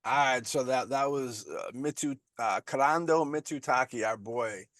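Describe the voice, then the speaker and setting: A man's voice: mid-range voice, normal speed, a bit bright, no echo, clean studio sound. A man co-hosting a podcast in a laid-back, slangy way. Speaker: podcast co-host. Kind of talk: casual chat.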